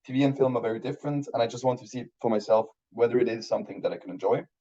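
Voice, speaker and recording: Swiss man, thirties, German first language, warm mellow tone, slightly muffled audio, rambles with fillers. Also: medium pitch, fairly even intonation, rapid-fire. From Switzerland. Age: 30s. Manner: rambling, many restarts and fillers. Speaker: guest filmmaker. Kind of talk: casual interview chat.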